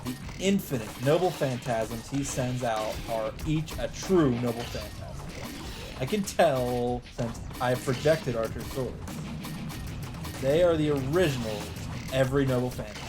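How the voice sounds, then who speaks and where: Let's player, mid-pitched voice, dramatic visual novel read with casual asides, music clearly behind. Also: animated, unhurried.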